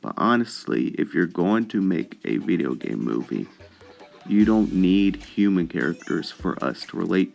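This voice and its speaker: Male commentary host: very low voice, animated swings in pitch, emphatic podcast-style delivery. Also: gravelly.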